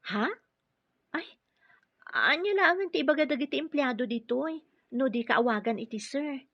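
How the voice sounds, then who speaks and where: A woman's voice: high voice, animated, moderate pace, no room sound, highs cut off. A woman playing a shy, modest young office clerk being courted in an Ilocano radio drama, with an Ilocano accent. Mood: flustered, modest.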